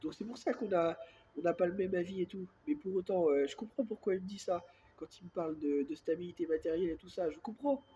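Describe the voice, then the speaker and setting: A somewhat high-voiced man with a stuffy head cold speaks quietly into a phone held close, in a rambling, repetitive monologue. A faint hiss sits under his voice. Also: quick pace, highs cut off. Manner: speaks softly on purpose; rambling, repeats filler words constantly. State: has a cold, congested and coughing. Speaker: self-filmed vlogger. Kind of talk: casual monologue to camera.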